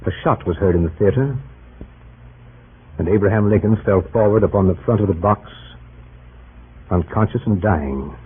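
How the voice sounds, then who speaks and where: A man narrating an old-time historical radio drama in a grave, measured storytelling manner. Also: lowish voice, slightly rough. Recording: noisy, tinny old radio recording.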